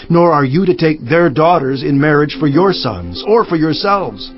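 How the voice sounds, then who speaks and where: A man playing a stern, devout governor of ancient Judah in a dramatized scripture audiobook, speaking solemnly with emphatic, measured phrasing. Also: fairly high pitch, lightly acted. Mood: stern, indignant. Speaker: audiobook narrator. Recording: slightly muffled, music underneath. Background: background music.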